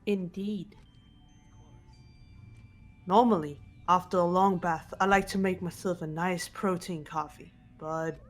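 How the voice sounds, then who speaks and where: A woman plays a calm, strong young woman from a video game. Her voice is on the low side, steady and composed, with soft music behind. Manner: measured, composed. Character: calm, stoic, sturdy young woman, reassuring. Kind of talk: fan-dubbed video game character voice.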